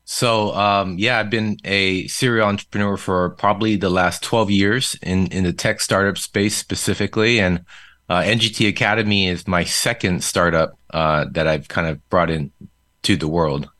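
A man's voice: low voice, steady tone, normal speed, a bit bright, no room sound, clean studio sound. A man from South Korea whose first language is Korean, telling his story as a guest in a casual interview, with frequent fillers. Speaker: podcast guest.

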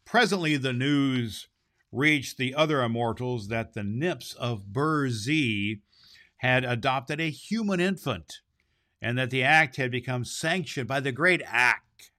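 A man's voice: mid-range voice, slow pace, pushed and bright. A man hosting a storybook reading, narrating expressively and stumbling slightly over some words. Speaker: storybook reader and podcast host. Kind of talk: storybook narration read aloud.

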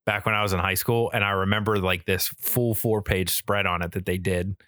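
An American man in his thirties with a lowish, bright, forceful voice in a very dry recording. He talks casually and eagerly as a podcast co-host, rambling along and swearing freely. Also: fairly even intonation, a little fast, no echo. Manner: enthusiastic, rambling, profane. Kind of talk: casual chat.

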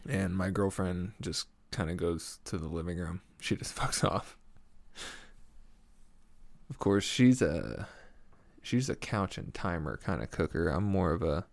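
A young man with a deep voice hosting a casual solo podcast, laid-back and swearing often, chuckling at his own stories. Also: lively intonation, a little slow, vocal fry, no room sound. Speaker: podcast host. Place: small home room with foam on the walls. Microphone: studio mic at a desk.

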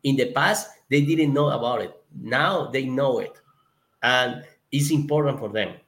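Halting Colombian-accented man. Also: mid-range voice, fairly bright tone. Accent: Colombian Spanish. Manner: halting, searching for words, frequent restarts. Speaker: guest, conservation project member. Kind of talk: conversational interview answer.

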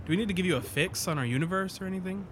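Guy acting chatty joker scientist, audio drama, slightly high voice, bit of noise. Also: very fast, natural voice. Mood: joking. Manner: casual, joking. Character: male scientist pilot, chatty, joking, friendly.

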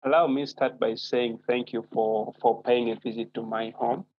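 A man's voice: medium pitch, steady tone, moderate pace, dry recording, narrow, tinny audio. A man in his thirties with a Ugandan accent, a native Acholi speaker, answering as an interview guest in a polite, thoughtful way. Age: 30s. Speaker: guest author.

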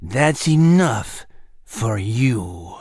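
Evil storybook villain, icy, sneering, very slow delivery, menacing low voice, dry close recording. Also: male voice, animated, darkish tone, no echo, full character voice. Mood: icy contempt. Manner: mocking, sarcastic, cackling.

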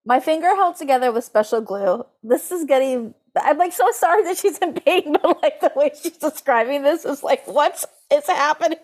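A woman's voice: sits high, lively intonation, moderate pace, hardly any pauses, a little mellow. A woman co-hosting a podcast in casual comedic chat, talking informally and incredulously, sometimes reading aloud with drama. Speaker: podcast co-host.

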